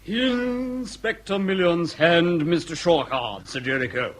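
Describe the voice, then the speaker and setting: A stately, obsequious manservant from a period radio play. He speaks slowly and ceremoniously in a fairly high voice, with faint noise behind. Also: expressive. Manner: ceremonious, measured servility. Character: stately, obsequious male butler. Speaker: actor in radio comedy drama. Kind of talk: British period radio drama acting.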